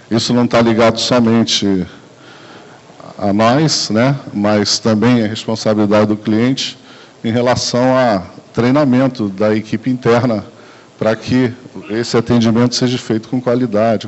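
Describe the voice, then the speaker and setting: A Brazilian man speaks at a mid pitch through a handheld mic, loose and conversational, tagging his points with quick questions to the room. The sound is dull and lo-fi, taken by a camera across a large conference room. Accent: Brazilian Portuguese. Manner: relaxed, conversational, frequent tag questions and fillers. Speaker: sales talk speaker. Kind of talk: conference presentation. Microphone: handheld mic, recorded by far camera. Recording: dull lo-fi camcorder audio.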